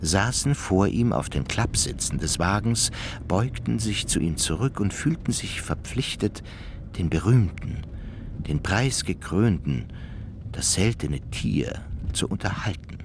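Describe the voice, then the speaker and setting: A man's voice: low-pitched, lively intonation, measured pace, noisy background. A man narrating a literary radio drama from a script.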